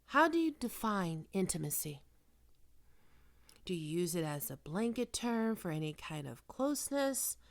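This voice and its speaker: A woman playing a probing, smoothly manipulative psychiatrist in an ASMR roleplay, speaking in measured, deliberate phrases. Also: fairly low pitch, big pitch swings. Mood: clinical, probing. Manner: measured clinical questioning with deliberate pauses. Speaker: voice actor performing a roleplay.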